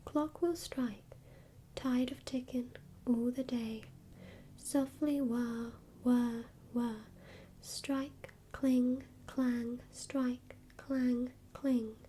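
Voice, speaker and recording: A woman whispering the part of an odd old male godfather who chants in a grating, monotonous way, in a storybook reading. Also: fairly high pitch, drawn-out words, a little hiss or hum. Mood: chanting.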